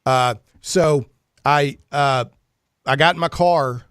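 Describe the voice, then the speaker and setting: A male talk show host with a voice a little higher than most, recorded dry and close. He rambles through casual stories and banter, joking with a mock-serious delivery. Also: takes it slowly, a bit bright, clean tone, dry recording. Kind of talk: casual chatty radio banter.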